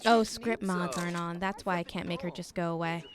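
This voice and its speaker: A woman's voice: fairly low pitch, steady tone, a little fast. A young woman co-hosting a game stream, giving wry, exasperated commentary in casual chat. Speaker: co-host playing the game. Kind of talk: casual chat while playing. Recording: crowd noise behind.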